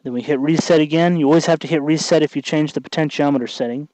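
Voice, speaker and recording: A man hosting a casual hands-on tutorial, thinking aloud in a halting way as he works. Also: on the high side, fairly even intonation, darkish tone, a little hiss or hum, poor audio. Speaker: tutorial host, hobby electronics maker.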